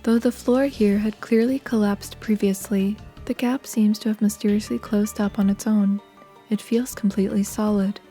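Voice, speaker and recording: A woman narrating a horror adventure game in a calm, scripted second-person style. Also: medium pitch, normal speed, natural voice. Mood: calm, measured. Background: faint music.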